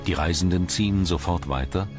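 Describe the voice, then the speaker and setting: A man reads scripted documentary narration in a measured, storytelling way. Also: low voice. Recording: loud music under the voice. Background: loud background music.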